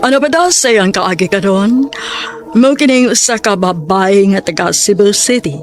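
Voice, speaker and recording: A woman's voice: medium pitch, expressive, normal speed, over loud music. A woman announcing a radio drama in a dramatic scripted style. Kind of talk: slow dramatic scripted programme introduction.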